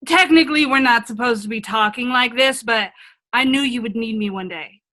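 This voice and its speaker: A woman's voice: fairly high pitch, normal speed, bright, forceful tone. A woman playing a wise, frank older self from a magic mirror in a radio play, earnest and reassuring. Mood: matter-of-fact. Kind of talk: comedic radio play acting.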